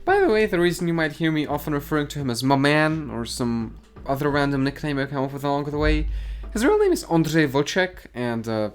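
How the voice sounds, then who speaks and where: Sardonic YouTube commentator, lively pitch. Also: male voice, brisk, hardly any pauses, clear, resonant tone. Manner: dry, mocking, deadpan asides. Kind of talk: sarcastic commentary. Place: garage.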